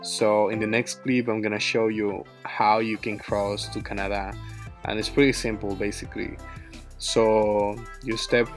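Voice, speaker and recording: A man's voice: on the low side, flat delivery, measured pace, soft background music. A young man from Ecuador with an Ecuadorian Spanish accent, narrating his travel vlog in chatty, loose English full of fillers and the odd Spanish word. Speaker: travel vlogger.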